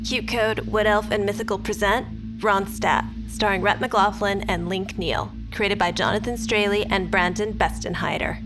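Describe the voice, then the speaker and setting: A woman's voice: mid-range voice, steady tone, normal speed, music in the background, poor audio. A woman announcer reading scripted show credits.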